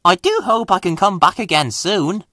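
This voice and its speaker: A man's voice: sits high, normal speed, sharp tone, dry recording, studio quality, pitched above the performer's own voice. A man voicing a cheerful but nervous little steam engine in a storybook, eager and easily frightened.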